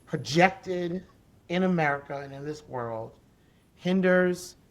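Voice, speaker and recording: Woman with a deep, raspy voice. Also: takes it slowly. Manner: animated, emphatic, repeats key phrases. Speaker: podcast guest, special education advocate. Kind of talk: conversational interview storytelling.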